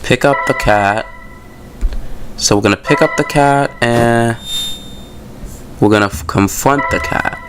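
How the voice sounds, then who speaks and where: A man's voice: mid-range voice, a little slow, long pauses, noisy background. A man hosting a gaming video, casually commenting and reading story text aloud in an informal, slangy way.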